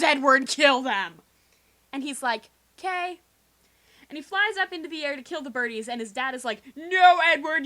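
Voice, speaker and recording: A young woman doing a theatrical, sarcastic comic dramatic reading in slangy language, recorded quietly on a homemade game microphone rig. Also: high-pitched. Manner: theatrical, sarcastic, slangy, acts out quoted dialogue. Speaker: storyteller. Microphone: video game microphone with improvised pop filter.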